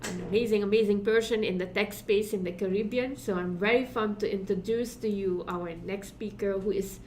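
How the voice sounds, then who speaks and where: A woman's voice: mid-range voice, fairly even intonation, a little fast, darkish tone, a little hiss or hum. A woman with a Dutch accent hosting an online tech summit, giving effusive introductions with plenty of fillers. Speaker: summit host. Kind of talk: online event moderating.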